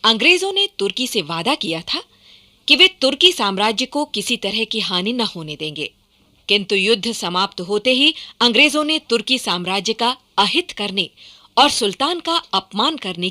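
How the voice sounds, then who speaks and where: Female radio narrator, formal scripted delivery, earnest, bright and fairly high-pitched.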